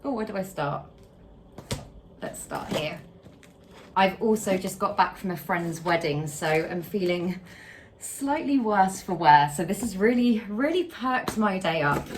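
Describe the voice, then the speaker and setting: Young woman vlogging, slightly croaky voice, gushing and excitable, middle pitch, faint background hiss. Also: a little slow. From United Kingdom. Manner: gushing, excitable, repeats words, breaks into laughs. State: slightly croaky from a late night. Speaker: houseplant content creator. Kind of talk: casual unboxing vlog.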